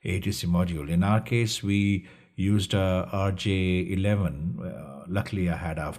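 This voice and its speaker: A man with a low-pitched, slightly rough and husky voice gives an instructional walkthrough. He speaks haltingly, going through each step and repeating points as a tutor would. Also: steady tone, a little mellow. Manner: halting, step-by-step explaining with repetition. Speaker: instructor presenting a DIY electronics project. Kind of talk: explanatory tutorial narration over slides.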